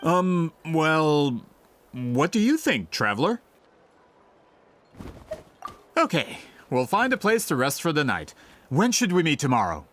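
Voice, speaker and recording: A man playing a polite, flattering, persistent merchant in a video game, courteous and eager to please. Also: fairly high pitch, measured pace, a little hiss or hum, full character voice. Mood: deferential.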